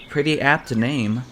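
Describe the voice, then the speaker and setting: A weary, sardonic companion, played by a man who sighs his way through slow, dry remarks. His voice sits at a middling pitch with little hurry in it. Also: a bit bright, a little hiss or hum.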